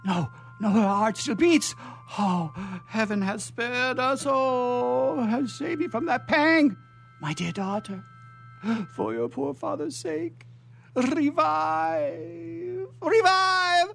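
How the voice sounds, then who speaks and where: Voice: high-pitched, lively intonation, takes it slowly, gravelly, fairly bright tone, slight background noise, heavily acted. An actor playing an anguished old father, tender and pleading, in melodramatic radio theatre style. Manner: melodramatic lamenting, exclamatory.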